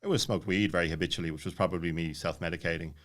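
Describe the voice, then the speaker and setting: A man in his late thirties with a low-pitched voice tells a casual personal story. He talks very quickly, looping back and jumping around as he goes, with plenty of filler words. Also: fairly even intonation, fairly bright tone. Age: late 30s. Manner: rambling, jumps around, many filler words. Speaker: podcast guest.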